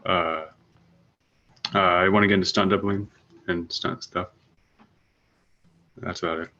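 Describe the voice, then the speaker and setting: A young man from California speaks casually on a video call. His voice is low and bright-edged with little movement in pitch, and the audio is a bit dull. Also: little pitch movement, measured pace, slight background noise. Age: teenager or very young adult. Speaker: high school student. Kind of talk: casual self-introduction.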